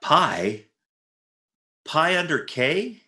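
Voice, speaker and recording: A man's voice: fairly high pitch, sing-song, extremely slow, pushed and bright, full character voice. A man playing a jealous, dry, exasperated and boastful husband in a 1940s-style radio comedy, in an echoey room.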